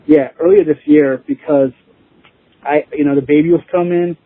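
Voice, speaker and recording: A man chatting casually as an interview guest, informal and rambling, with a husky voice. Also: fairly high pitch, fairly even intonation, moderate pace, dry recording. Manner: informal, rambling, chuckling easily. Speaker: interview guest, band musician. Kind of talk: casual chat. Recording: tinny phone-like line.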